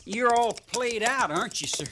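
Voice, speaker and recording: High-pitched, folksy young cavalry orderly. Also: male voice, lively intonation, moderate pace. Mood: concerned, respectful. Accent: rural American. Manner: drawling, deferential, folksy. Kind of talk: 1950s radio western acting. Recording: noisy 1950s radio recording.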